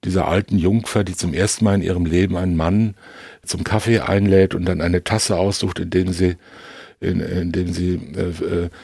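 Man, very low pitch, gravelly fry, halting analytical podcast talk. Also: flat delivery, darkish tone, no room sound, clean studio sound. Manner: halting, long-winded analytical sentences. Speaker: podcast co-host discussing films. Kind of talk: reflective film discussion.

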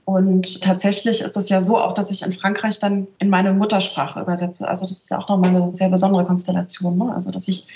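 A woman's voice: mid-range voice, monotone, brisk, few pauses, darkish tone. A middle-aged woman from northern Germany, a translator speaking as an interview guest, in a thoughtful, searching way with frequent little tag questions. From northern Germany, near Celle. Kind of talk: reflective interview talk. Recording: roomy, slightly dull remote audio.

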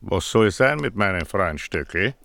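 A small-town mayor in a film drama, folksy and condescending with a southern German accent. His gravelly voice swings widely in pitch and takes its time, probing evasively under a jovial surface of veiled menace. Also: animated, unhurried, fairly bright tone, exaggerated voice. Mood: probing affability. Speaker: actor. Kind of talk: naturalistic film drama dialogue.

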